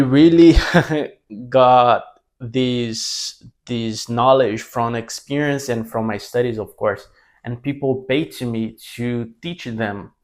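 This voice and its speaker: A man in his twenties from Brazil with a Brazilian Portuguese accent and Portuguese as his first language, talking to camera in a casual, halting way, often restarting his sentences. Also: mid-range voice. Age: 20s. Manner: halting, many restarts and self-corrections. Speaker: language mentor.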